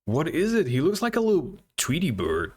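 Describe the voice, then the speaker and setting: A man's voice: a little high, wildly swooping pitch, moderate pace. A man hosting a casual reaction video, thinking aloud in hesitant fragments. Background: game trailer music.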